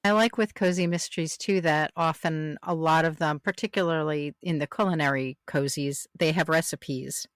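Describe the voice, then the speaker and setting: A woman's voice: deep voice, normal speed, few pauses, no room sound, studio quality. A woman hosting a casual podcast interview in a conversational way.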